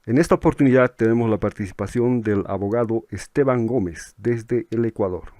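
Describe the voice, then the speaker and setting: A male host opens the event with a formal, announcing delivery. His voice sits somewhat low. The recording is dry and close, with almost no room sound. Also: a little fast, darkish tone, no room sound. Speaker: conference host.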